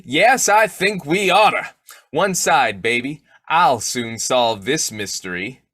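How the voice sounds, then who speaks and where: A man plays a blustering, teasing husband in an old radio comedy. His pitch leaps about with folksy, excitable emphasis, and the sound is dry and close. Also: takes it slowly, slightly rough, dry recording, exaggerated voice. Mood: eager, bossy. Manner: folksy exclamations, swooping emphasis.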